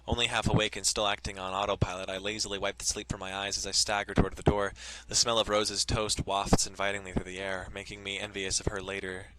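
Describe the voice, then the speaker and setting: A man's voice: fairly low pitch, flat delivery, fast talker, hardly any pauses, slight background noise, low-quality recording. A man reading visual novel text aloud and commenting casually, as the host of a let's play. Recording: loud music behind the voice. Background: loud guitar music.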